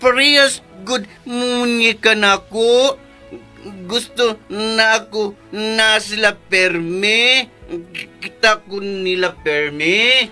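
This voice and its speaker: A simple-minded grown man with a child's manner, high-pitched and bright. He stammers and drags slowly through broken phrases, earnest and slow to understand, in a radio drama over music. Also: full character voice. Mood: confused, earnest.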